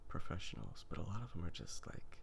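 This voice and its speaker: A man talking softly in a breathy half-whisper, rambling casually in an ASMR style, hesitant and often trailing off. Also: low voice, big pitch swings, quick pace, a little hiss or hum. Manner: hesitant, trailing off, thinking aloud. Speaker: ASMR video creator and artist.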